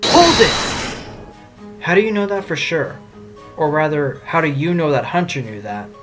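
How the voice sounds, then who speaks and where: Man playing wry, higher-voiced defense lawyer. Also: very animated, moderate pace, faint music behind. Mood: challenging. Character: wry, quick-witted male defense lawyer. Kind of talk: amateur audio drama acting.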